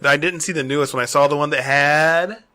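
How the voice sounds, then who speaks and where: A man's voice: fairly high pitch, steady tone, a little fast, sharp tone, dry recording. A man co-hosting a podcast, chatting casually with crude, irreverent humor. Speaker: podcast co-host. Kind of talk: casual joking chat.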